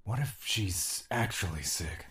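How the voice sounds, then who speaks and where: A man's voice: on the low side, expressive, measured pace, a bit bright. An actor in an audio drama playing a blunt male confidant who needles and questions. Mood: speculative, needling. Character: deep-voiced, blunt, teasing male confidant.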